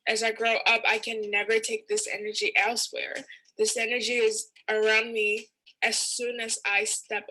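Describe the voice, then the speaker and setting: A woman's voice: mid-range voice, bright, forceful tone, rough recording. A young woman student from China giving a personal testimonial to camera in a bedroom. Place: bedroom at home.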